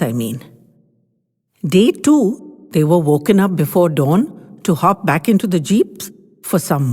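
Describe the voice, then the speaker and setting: A woman's voice: on the low side, a little mellow, slightly rough, exaggerated voice. A woman voicing a grandchild who tells the family a story with teasing, bossy confidence. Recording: long hall reverb.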